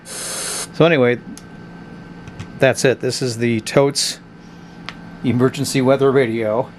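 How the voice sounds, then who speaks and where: A man talks through a review of a cheap radio in an offhand, blunt way, thinking aloud as he goes. His voice sits in a middle pitch. A faint hiss runs under it. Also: fairly even intonation, takes it slowly. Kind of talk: casual product review. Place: indoors at a tabletop.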